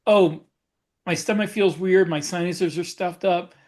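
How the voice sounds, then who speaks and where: A man with a fairly high voice talking casually on a panel podcast. He makes his points with emphasis, in the plain conversational way of a co-host. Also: fairly even intonation, a little slow, darkish tone. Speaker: podcast co-host and science fiction author.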